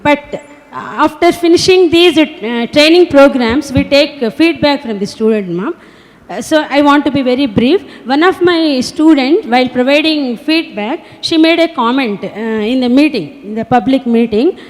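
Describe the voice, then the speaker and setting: Brief: female audience questioner, hesitant, polite, higher voice, reverberant hall. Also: animated, moderate pace. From India. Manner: hesitant, many "uh" fillers, polite "ma'am". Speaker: audience member asking a question.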